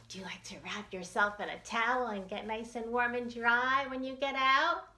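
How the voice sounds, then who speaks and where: Bright, very animated woman reading storytime. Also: fairly high pitch, echoey room. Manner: expressive, sing-song questioning. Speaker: children's librarian hosting storytime. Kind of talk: animated picture-book read-aloud for young children.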